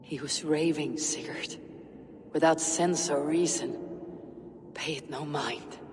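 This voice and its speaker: A woman's voice: low voice, slow, noisy background. An actress playing a defiant, steadfast female Viking warrior in a video game, terse and grave. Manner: terse, grave, formal phrasing. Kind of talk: dramatic video game cutscene acting. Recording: cavernous reverb, music underneath.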